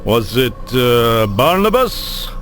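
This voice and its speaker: Sly, taunting villain, swooping delivery. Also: male voice, medium pitch, sing-song, takes it slowly, a bit bright. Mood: mocking. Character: sly, mocking male medieval villain. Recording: game dialogue under clear music.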